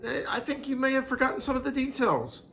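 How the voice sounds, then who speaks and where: A man's voice: extremely high pitch, expressive, fast talker, sharp tone. Guy joking around casually as co-host, teasing little comments, noisy room sound. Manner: playful, teasing interjections. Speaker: vlog co-host. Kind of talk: casual banter. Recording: clearly noisy room ambience.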